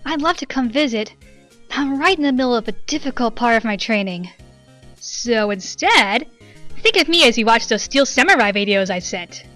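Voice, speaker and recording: A woman's voice: a little high, expressive, normal speed, sharp tone, soft background music. A woman voicing a perky, teasing young woman in a game fandub, breezy and affectionate as an old friend. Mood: cheerful. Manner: breezy, playful. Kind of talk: video game fandub acting, letter voice-over.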